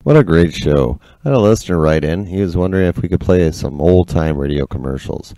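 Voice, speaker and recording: A man's voice: sits low, expressive, fairly quick, mellow, slight background noise. An American man casually hosting a radio show.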